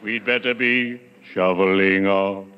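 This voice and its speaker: Genteel, morbidly witty undertaker, theatrical and formal. Vintage radio comedy, dull echoing sound. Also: male voice, sits low, fairly even intonation, a little slow. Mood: morbidly jovial. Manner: formal, florid, theatrical diction. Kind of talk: 1940s radio comedy acting. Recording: muffled, noisy old radio recording, reverberant.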